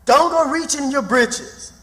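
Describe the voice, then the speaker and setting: A man playing a superstitious, good-humoured runaway in radio theatre, with a folksy drawl from the American South. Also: extremely high pitch. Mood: amused, teasing. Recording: long reverberant hall sound.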